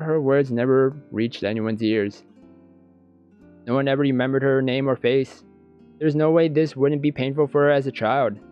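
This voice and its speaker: A man with a medium-pitched voice narrates a visual novel for a gaming video. His own asides come out halting and stammered. The audio is a little lo-fi, with soft guitar music behind him. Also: smooth. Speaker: gaming YouTuber reading a visual novel aloud. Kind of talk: let's play narration with off-the-cuff reactions. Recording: dull lo-fi audio. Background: guitar background music.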